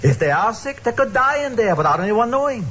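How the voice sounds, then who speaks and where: A high-voiced, very animated kindly, worrying deli man with a New York Yiddish accent. He pleads emphatically in old radio style, through a dull, noisy recording. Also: brisk, heavily acted. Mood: worried. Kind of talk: old-time radio acting.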